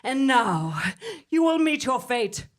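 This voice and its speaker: A woman playing an imperious villainous high priestess in a comic audio drama, haughty, menacing and grandly declaiming. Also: sing-song, slow, exaggerated voice.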